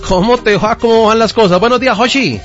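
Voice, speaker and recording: Male radio host, talkative, a bit high-pitched, muffled lo-fi sound. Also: fairly quick. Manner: chatty, rambling with hesitations. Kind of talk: conversational radio interview. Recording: dull lo-fi radio audio, faint music.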